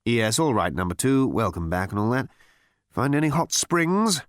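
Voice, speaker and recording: Amiable, ineffectual male captain, voiced by a man, raised pitch, vague and conciliatory, storybook comedy. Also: big pitch swings, moderate pace, a bit bright, dry recording, clean studio sound. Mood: despondent. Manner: pleasant, conciliatory, dithering.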